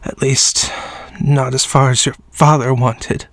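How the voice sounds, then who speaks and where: An actor playing a sickly, frail man who seems ancient, coughing and speaking with slow, quiet menace. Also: medium pitch, flat delivery. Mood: quietly menacing. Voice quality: coughing, weak, breathy. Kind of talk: audio drama acting.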